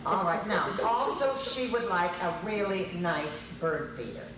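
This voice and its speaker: Bossy radio-comedy wife, chiding and insistent; noisy, very echoey hall pickup. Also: female voice, on the low side, sing-song, a little slow, recorded from a distance. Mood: exasperated, instructing. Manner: insistent, repeats herself, chiding. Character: exasperated, bossy, fussing wife in domestic comedy. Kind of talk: old-time radio comedy acting, live on stage. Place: convention stage before an audience.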